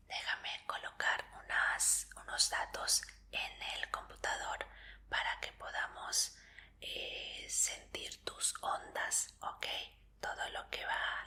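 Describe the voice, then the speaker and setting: A woman voicing a gentle, attentive medical examiner in a soothing, breathy whispered ASMR roleplay, giving polite instructions. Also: takes it slowly.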